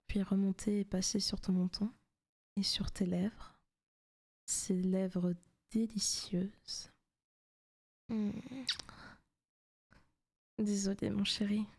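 Slow, whispery demon girlfriend, acted by a woman. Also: mid-range voice. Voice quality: soft, breathy, often whispered. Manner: slow, intimate, teasing. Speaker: ASMR roleplay performer. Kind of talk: whispery ASMR roleplay.

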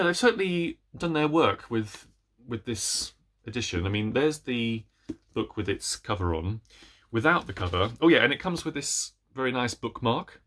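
A man's voice: mid-range voice, a bit bright. A man in his thirties chatting casually about books to camera, hesitant and rambling, often breaking off mid-sentence. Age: 30s. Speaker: book reviewer vlogger. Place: small indoor room with bookshelves.

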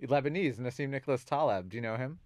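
A man's voice: medium pitch, fast talker, a little mellow, dry recording. A man on a podcast as guest, telling anecdotes with many likes, in a small wood-panelled studio on a table mic. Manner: storytelling, lots of likes. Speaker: guest, documentary filmmaker. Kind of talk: casual group chat. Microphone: table mic on stand.

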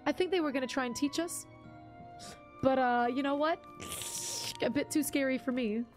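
A young woman with a husky voice, chatting casually with viewers on a game stream, rambling and restarting her sentences. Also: high voice, moderate pace, soft background music. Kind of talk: casual live-stream chat reading viewer comments.

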